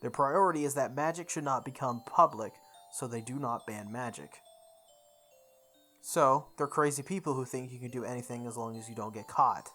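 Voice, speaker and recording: A let's play host narrates a visual novel in a mid-pitched voice over music. The reading is deliberate, like a story told aloud, and now and then trips on a word. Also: a bit bright. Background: background music.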